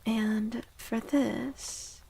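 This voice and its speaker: A woman speaking softly in a breathy, often whispered ASMR style, gently and soothingly, as she gives a tarot reading. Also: a little high, fairly even intonation, a little hiss or hum, low-quality recording. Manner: slow, gentle, soothing, deliberate with long pauses.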